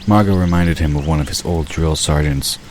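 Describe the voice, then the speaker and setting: A man narrating a fantasy audio drama in a steady, even storytelling manner. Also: a bass voice, fairly quick, a little hiss or hum.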